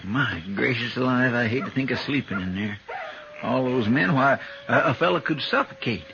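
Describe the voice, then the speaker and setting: An actor playing a fussy, complaining sidekick in a 1950s radio western, talkative and folksy. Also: male voice, mid-range voice, animated, normal speed, vocal fry. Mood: grumbling. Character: fussy, complaining, loyal Old West marshal's sidekick. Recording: dull vintage radio recording, faint noise.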